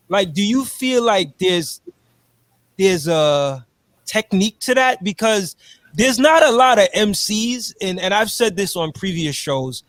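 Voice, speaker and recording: A man hosting an interview, asking measured questions and affirming answers with short replies. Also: high-pitched, fairly bright tone, no echo. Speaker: interviewer host.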